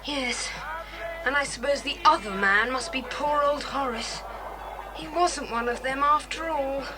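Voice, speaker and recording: Plucky, level-headed schoolboy taking charge in an adventure drama; high, clipped orders over music. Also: female voice, a little slow, poor audio. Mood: cautious, determined. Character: resolute, sensible boy adventurer leading the children. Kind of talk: dramatised children's adventure audiobook.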